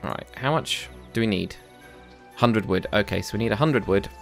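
A man's voice: lowish voice, moderate pace, vocal fry, fairly bright tone, soft background music. A man hosting a let's play, giving casual, chatty commentary and thinking aloud as he plays.

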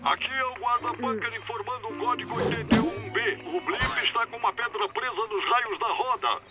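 High-pitched, fussy cartoon radio ranger. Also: male voice, lively intonation, fairly quick. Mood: official. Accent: Brazilian. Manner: official, over-serious. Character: fussy, over-earnest adult male ranger on radio duty. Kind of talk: cartoon radio dispatch announcements. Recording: radio sound with loud music.

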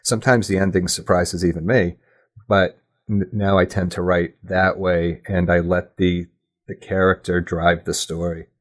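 A man's voice: sits low, level tone, normal speed. A man speaking as an interview guest, thoughtful and self-critical, choosing his words hesitantly in casual talk. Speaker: podcast guest, audio drama writer.

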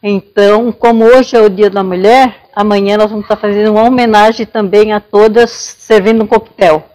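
Older woman, medium-pitched. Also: fairly even intonation, brisk, darkish tone. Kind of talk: friendly informal invitation to camera. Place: large indoor hall.

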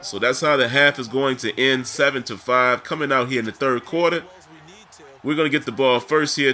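A man hosting a gameplay video with casual commentary addressed to viewers, his voice scratchy and raspy from being under the weather. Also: mid-range voice, pushed and bright. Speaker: gaming video host.

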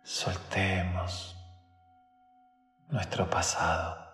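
A man leading a guided meditation in short phrases with long pauses, sometimes dropping to a whisper. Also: deep voice, level tone, a bit bright. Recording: roomy long reverb with faint background music.

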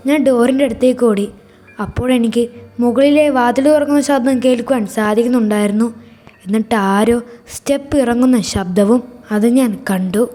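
Mellow, higher-voiced woman narrating horror. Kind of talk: scripted first-person storytelling.

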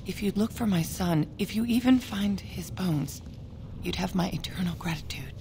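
Sorrowful dwarf mother, creaky low voice. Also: mellow, a little hiss or hum. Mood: pleading. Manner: halting, sorrowful, earnest. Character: worried older dwarf mother grieving a missing son. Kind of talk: video game dialogue acting.

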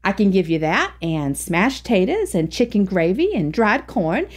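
A woman's voice: medium pitch, sing-song, a little slow. A woman voicing a homespun older country woman who talks in a folksy, chatty way. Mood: hospitable. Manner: folksy rural speech, chatty.

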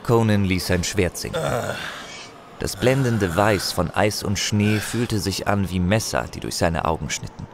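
A man narrating a fantasy audio drama in a solemn, measured, expressive storytelling manner. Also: sits low, noisy background. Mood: solemn, awed. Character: solemn male narrator of a barbarian saga.

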